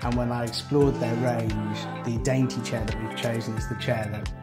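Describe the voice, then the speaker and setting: A man in his thirties, a barbershop co-owner, speaking earnestly in an interview with pauses and fillers. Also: medium pitch, flat delivery. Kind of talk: interview for a promotional video. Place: indoors in a barbershop. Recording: background music clearly heard.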